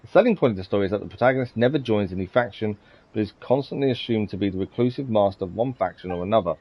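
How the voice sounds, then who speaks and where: A man's voice: lowish voice, lively intonation, very fast. A man livestreaming a video game, reading out on-screen text and chatting with viewers. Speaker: live streamer. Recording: slightly dull sound, game music behind. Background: faint game music.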